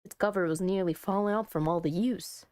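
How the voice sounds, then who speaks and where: A woman doing let's play commentary on a visual novel, reading it aloud and chatting with expressive, playful asides. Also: fairly low pitch, a little fast, no room sound, studio quality. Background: soft music.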